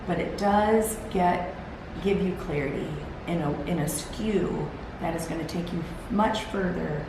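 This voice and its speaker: A woman hosting a solo motivational talk, earnest and encouraging. She sounds gravelly and tired, and rambles with restarts as she talks to a camera set on a timer. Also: on the low side, a little slow. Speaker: host. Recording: very echoey room with background hiss.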